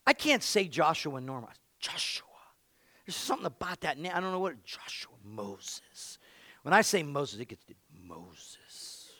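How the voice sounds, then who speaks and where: Male pastor, energetic sermon, high bright voice, playful then shouting, dry close sound. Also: measured pace, no room sound.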